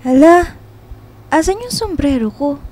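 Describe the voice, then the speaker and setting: A woman playing a friendly, easily delighted young Filipino woman in a radio love drama, expressive and chatty. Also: high-pitched, a little slow, faint music behind. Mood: bright surprise.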